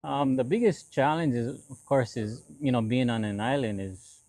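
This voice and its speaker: Man in his forties from Hawaii, halting delivery. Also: medium pitch, mellow, dry recording. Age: 40s. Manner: halting, repeats phrases and affirmations. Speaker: interview guest, lowrider builder. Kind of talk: casual conversational interview.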